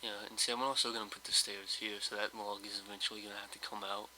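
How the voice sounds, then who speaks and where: Male gameplay host, slightly hoarse, bright tense tone, monotone, rambling and hesitant delivery. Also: fairly low pitch, fast, slight background noise, low-quality recording. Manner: rambling, hesitant, full of fillers. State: slightly hoarse, just woke up. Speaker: let's play host. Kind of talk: casual gameplay commentary.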